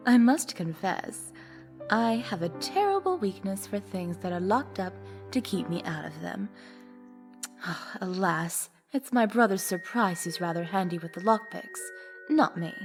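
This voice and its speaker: An actress playing a coy, theatrical high-society widow in a visual novel game, with a languid, arch, mock-innocent delivery. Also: female voice, lively intonation, a little slow, in a large hall, faint music behind. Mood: playful confiding.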